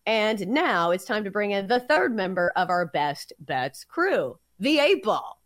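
A woman with a Southern accent hosting a sports betting podcast, breaking down picks in a steady, analytical way with frequent filler sounds. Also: medium pitch, a little slow, dry recording, studio quality. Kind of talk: casual sports betting chat.

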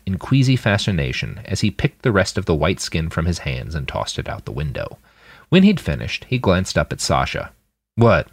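A man's voice: low-pitched, vocal fry, slightly rough, dry recording. A man reading a story aloud as scripted audiobook narration. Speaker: author reading his fiction podcast.

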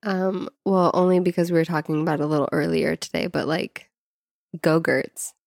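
A woman's voice: sits low, steady tone, moderate pace, vocal fry, darkish tone, no room sound, studio quality. An American woman co-hosting a podcast in casual conversation, informal and peppering her talk with "like". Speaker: podcast co-host. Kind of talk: casual chat.

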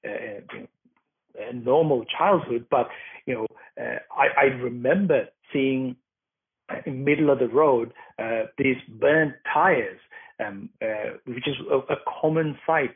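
A man's voice: medium pitch, measured pace, breathy edge. Sri man on a tinny line. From Sri Lanka. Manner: thoughtful, many fillers and restarts. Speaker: guest, documentary maker. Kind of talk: interview answers, personal account.

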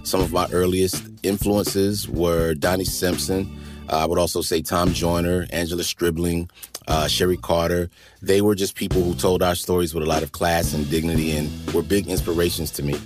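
A man reading a scripted promo in a steady, measured way. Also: deep voice, music in the background.

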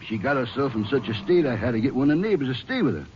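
A man's voice: mid-range voice, sing-song, rapid-fire, a little hiss or hum, lightly acted. Dull old radio recording, male actor as nervous small-town lawman, rural Southern drawl, rambling, hesitant, folksy. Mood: worried, talkative. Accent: rural American Southern. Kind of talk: 1950s radio drama acting.

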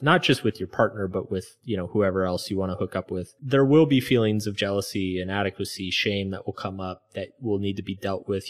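Man, low voice, guest author, candid reflective chat, filler-heavy. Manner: reflective, loose, many "like" fillers. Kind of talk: candid conversational interview.

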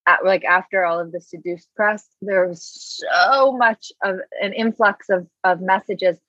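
A woman's voice: mid-range voice, steady tone, measured pace, a bit bright, no echo, lo-fi sound. A woman in her thirties, a guest in a casual interview, talking in a reflective, earnest way with many "like" fillers. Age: 30s.

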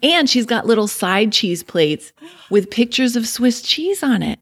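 A woman's voice: a little high, very animated, unhurried, slightly rough, dry recording. A woman hosting an interview podcast, effusive and gushing over her guest in casual chat. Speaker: podcast host.

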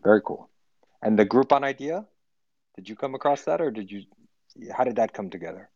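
A man's voice: lowish voice, expressive, a little fast, darkish tone, dry recording. A man hosting an interview, asking long, friendly questions with hesitations. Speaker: host, interviewer. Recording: dull lo-fi audio-room stream.

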